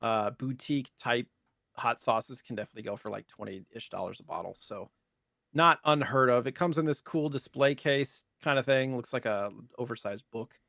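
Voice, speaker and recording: A man with a mid-pitched voice chats as a podcast co-host. His delivery is loose and rambling, recorded close and dry with no room sound. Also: normal speed, vocal fry, fairly bright tone, no echo. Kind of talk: casual chat about retro gaming news.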